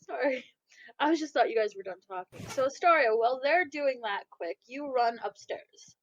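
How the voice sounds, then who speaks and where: A woman improvising narration as game master of a tabletop game, with a halting delivery. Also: high voice, lively intonation, no echo. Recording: dull, lo-fi microphone sound.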